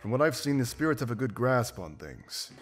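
An actor playing a confident, pragmatic wolf mercenary in a video game, blunt and self-assured. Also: male voice, mid-range voice, big pitch swings, fairly quick. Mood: reflective. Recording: music behind. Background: game music.

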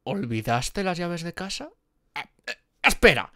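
Man playing a nervous, animated gorgon artist. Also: sits high, measured pace, no room sound, clean studio sound, full character voice. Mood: nervous, flustered. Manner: hesitant, flustered, exclamatory. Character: nervous, gentle male gorgon working as gallery artist. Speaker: voice actor in an audio roleplay. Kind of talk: dramatic ASMR roleplay monologue.

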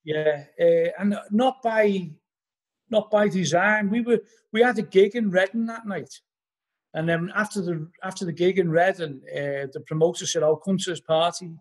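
A middle-aged man with a Liverpool accent, his voice somewhat husky and pitched a little above most men's. He rambles through his anecdotes, scattering "you know" throughout. He is talking over a laptop webcam from his living room at home. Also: normal speed. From Liverpool, England. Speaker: podcast guest, band vocalist. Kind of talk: casual storytelling interview. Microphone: laptop webcam on a video call.

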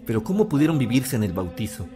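An actor playing a calm, authoritative village priest in an audio drama, reassuring and giving instructions. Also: male voice, medium pitch, quick pace, over music, close to an everyday voice. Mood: reassuring, firm.

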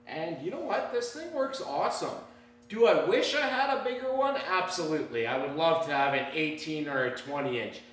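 A man's voice: a little high, a bit bright. A man in his thirties chatting informally and enthusiastically, unscripted, as a hobby woodworker showing his shop. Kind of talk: casual unscripted vlog chat. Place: garage-sized woodworking shop, indoors. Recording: echoey room with background noise and clicks.

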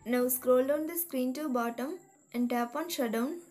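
A woman reading a scripted step-by-step tech tutorial in a measured, instructional manner. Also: high voice, darkish tone. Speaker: tutorial narrator. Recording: voice-over with music behind. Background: background music.

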